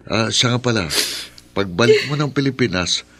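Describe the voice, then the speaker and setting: A man's voice: medium pitch, lively intonation, normal speed, a bit bright. An actor in a Filipino radio drama playing a helpful, practical male friend, earnest and reassuring. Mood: concerned, reassuring.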